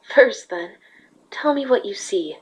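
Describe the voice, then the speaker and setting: A woman playing a calm, patient, regal winged unicorn ruler, composed, formal and gently persuasive, in an amateur fan fiction drama. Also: medium pitch, slow, husky, poor audio. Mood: calm, firm.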